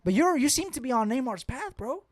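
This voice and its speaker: A man's voice: extremely high pitch, sing-song, fairly quick, no room sound. Blunt, slangy man in a dry studio. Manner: repeats phrases insistently. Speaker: football podcast co-host. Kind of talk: casual sports banter. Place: podcast studio.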